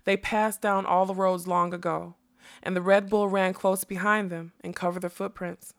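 A woman's voice: mid-range voice, flat delivery, moderate pace, vocal fry, natural voice. A woman voicing a whimsical, scatterbrained male butterfly in a storybook, theatrical and rambling, given to bursts of song and quotation. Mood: urgent. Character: whimsical scatterbrained male butterfly who sings and quotes nonsense. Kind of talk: acted audiobook storytelling.

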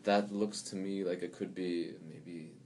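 A man with a very deep voice hosts a casual interview. He asks curious, quick follow-up questions and laughs along with his guest. A faint noise sits behind him. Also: fairly even intonation, darkish tone.

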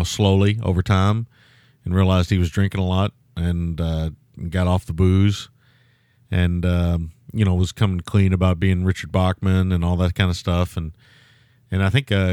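Loud, low-voiced man chatting about films. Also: smooth, no echo, studio quality. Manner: rambling, many fillers and restarts. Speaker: podcast co-host. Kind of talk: casual film-review chat.

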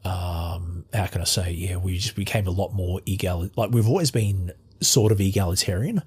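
An Australian man hosting a casual interview, talking in a halting way with many restarts as he searches for words. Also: sits low, a little mellow, breathy edge.

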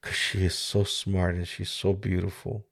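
A man in his forties from the Dominican Republic, hosting a reflective solo podcast. He talks in a halting, searching way, with many pauses and restarts, into a studio microphone. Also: deep voice, little pitch movement. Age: 40s. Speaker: podcast host. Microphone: studio microphone with mixer.

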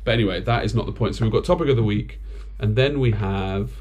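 A man's voice: lowish voice, quick pace, muffled recording, slight background noise. Man hosting a casual podcast. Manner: steady, even loudness by his own account. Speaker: podcast host. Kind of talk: casual chat.

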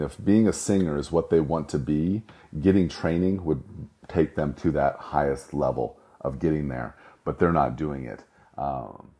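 Middle-aged guy with a really low mellow voice, rambling casual talk. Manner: rambling, self-correcting storyteller. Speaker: host. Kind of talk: casual monologue.

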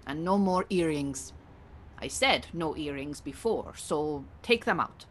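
Actress as stern, low-voiced Iranian refugee woman. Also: animated, a little slow, slight background noise. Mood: stern, commanding. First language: Farsi. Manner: formal, precise, bossy, dry. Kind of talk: audio theatre acting.

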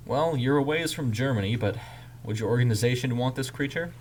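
A man's voice: medium pitch, a little fast, a little mellow, slight background noise, voice pushed up, natural voice. A man voicing a curious, firm inspector with a dry, questioning manner in a narrated story. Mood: matter-of-fact.

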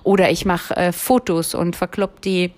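A woman's voice: fairly low pitch, flat delivery, normal speed, a little mellow. A woman from Berlin with a light Berlin accent, talking casually and rambling in a personal vlog, with lots of filler sounds. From Berlin, Germany. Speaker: vlogger. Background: phone ringing.

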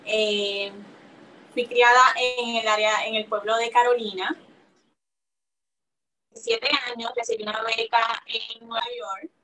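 A Puerto Rican woman talks about her work on a radio show, pausing and restarting often. Her voice sits a little high and sounds bright and sharp. She is heard from some distance from the microphone. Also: animated, creaky. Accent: Puerto Rican Spanish. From Puerto Rico. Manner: hesitant, many fillers and restarts. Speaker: guest aesthetician. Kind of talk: radio interview.

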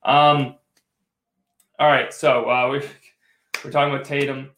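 A young man hosting a casual live postgame sports stream, his voice going hoarse, rambling with lots of restarts as he reads out viewer comments. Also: mid-range voice, flat delivery, measured pace, fairly bright tone, roomy sound, slight background noise. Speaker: live postgame show host.

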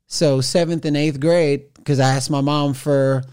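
A man of forty, a podcast guest, telling his story in an animated, conversational way with frequent restarts. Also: on the high side, unhurried, clear, resonant tone. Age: 40s. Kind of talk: conversational interview.

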